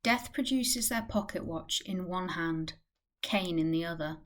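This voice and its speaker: A woman's voice: fairly low pitch, unhurried. A woman reading scripted narration for a radio play.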